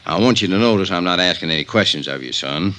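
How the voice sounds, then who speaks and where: A man's voice: sits low, expressive, quick pace, bright, forceful tone. An American man acting a folksy, canny, older small-town sheriff with a drawling, plain-spoken manner in a 1950s radio drama. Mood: frank, genial.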